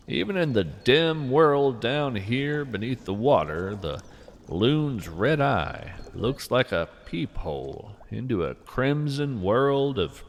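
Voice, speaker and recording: A man's voice: medium pitch, vocal fry, slight background noise, full character voice. A man playing a whimsical, earnest naturalist who muses with folksy wonder in a first-person field-journal audio drama. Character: whimsical, earnest male naturalist who hunts strange cryptids. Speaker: podcast host and narrator.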